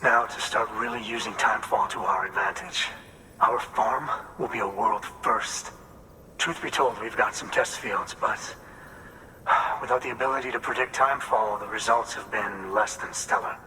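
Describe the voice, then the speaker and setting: An actor playing a hardworking, hopeful farm leader in a video game, speaking in a folksy, earnest, measured way. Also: male voice, bright, forceful tone. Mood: grateful, hopeful. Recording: long hall reverb, faint rumbling noise.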